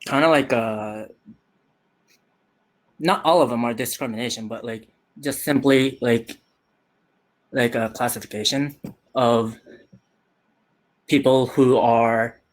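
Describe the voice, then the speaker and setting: Slow, hesitant young man from Japan. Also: medium pitch, fairly even intonation, low-quality recording. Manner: hesitant, many fillers and restarts. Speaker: youth participant. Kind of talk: casual video call chat. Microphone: video call.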